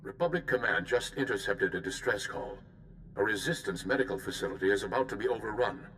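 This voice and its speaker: An actor voicing a calm, principled Jedi mission leader in a video game, with a formal, courteous manner. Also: male voice, lowish voice, a little fast, a little hiss or hum. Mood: urgent.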